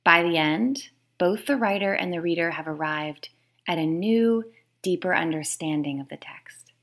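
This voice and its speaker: A woman author reading her nonfiction book aloud in a measured, explanatory way. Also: fairly low pitch, fairly bright tone. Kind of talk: scripted read-aloud of nonfiction.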